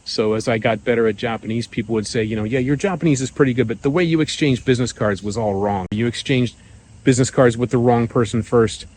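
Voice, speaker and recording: A middle-aged man talking in a relaxed, rambling way, with many fillers and restarts, as a vlogger sharing his views. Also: medium pitch, fast. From United States. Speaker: vlogger living abroad. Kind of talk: casual monologue.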